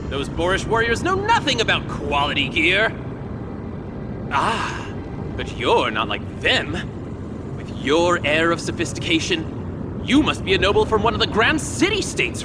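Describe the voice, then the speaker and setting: An actor playing a boastful, fawning weapons merchant in a video game, with theatrical sales patter. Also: male voice, sits high, sing-song, measured pace, background noise. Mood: fawning, sly. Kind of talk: video game character acting. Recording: loud background music.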